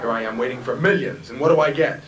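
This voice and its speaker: A man's voice: medium pitch, quick pace, lightly acted. A man playing a grandiose, hapless uncle with pompous theatrical delivery in a 1950s radio sitcom. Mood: indignant. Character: grandiose, hapless uncle dreaming of sudden riches. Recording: noisy, echoey old radio transcription, distant mic.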